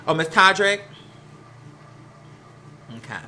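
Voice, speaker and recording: A live stream host with an American accent chatting casually and gossiping, sassy and animated, slangy and rambling from one aside to the next. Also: mid-range voice, slow pace, a little hiss or hum. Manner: sassy, slangy, peppered with "girl" and "honey". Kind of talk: casual live chat to viewers, gossipy commentary.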